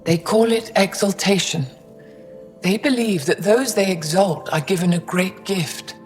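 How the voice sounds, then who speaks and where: A woman's voice: medium pitch, measured pace, long reverb tail, faint music behind, natural voice. An actress playing a proud, stern older female alien scientist in a video game, speaking formally and pointedly. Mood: grave, explaining. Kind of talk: dramatic video game acting.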